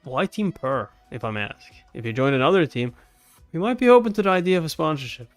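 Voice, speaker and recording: A man's voice: on the high side, very animated, quick pace, faint music behind. Man reading as a formal, guarded official. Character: guarded, businesslike branch official in a comic.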